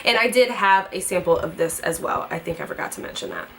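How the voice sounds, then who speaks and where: A woman's voice: lowish voice, wildly swooping pitch, fast talker, creaky, a bit bright, slight background noise, rough recording. A young woman in her twenties chatting casually as a beauty vlogger, gushing and bubbly, a little congested from a head cold. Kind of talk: casual chat to camera.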